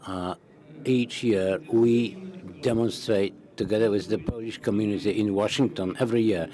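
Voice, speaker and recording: A man interpreting a parliamentary conference into English, halting, with many fillers and restarts. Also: lowish voice, fairly even intonation, warm tone.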